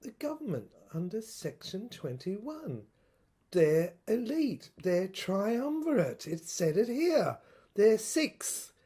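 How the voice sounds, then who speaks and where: A woman playing a troubled infantry soldier in an audio drama monologue, speaking in halting, deliberate phrases with a husky voice. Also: medium pitch, big pitch swings, warm tone, full character voice. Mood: grave, revelatory. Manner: halting, broken phrases with deliberate emphasis and repetition. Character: troubled infantry soldier piecing together a secret government document.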